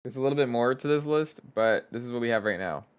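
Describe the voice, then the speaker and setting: A man's voice: medium pitch, quick pace, clear, resonant tone. Stuffy-nosed man chatting while coding. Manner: thinks aloud, reads chat aloud, trails off and restarts. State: stuffy nose. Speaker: streamer and game developer. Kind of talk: casual live-stream chat while coding.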